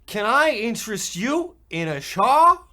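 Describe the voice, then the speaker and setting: A man's voice: very high-pitched, big pitch swings, a little slow, pushed and bright, full character voice. A man playing a sly, bossy older brother, a Depression-era boy, in a stilted, folksy comedy radio play style. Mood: bossy, needling.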